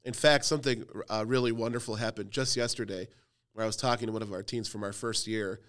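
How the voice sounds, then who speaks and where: A man's voice: fairly low pitch, steady tone, quick pace, a bit bright, clear, resonant tone. A man in his thirties from Cleveland, a youth pastor talking casually on a podcast with lots of fillers and self-deprecating humor. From Cleveland, Ohio.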